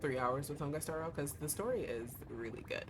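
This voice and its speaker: Hoarse young woman streamer, chatty. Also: deep voice, lively intonation, fairly quick, background noise. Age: young adult. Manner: rambling, chatty, lots of fillers. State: hoarse, voice giving out. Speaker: VTuber streamer. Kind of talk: casual livestream chat while playing a gacha game. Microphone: desk streaming mic. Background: game music.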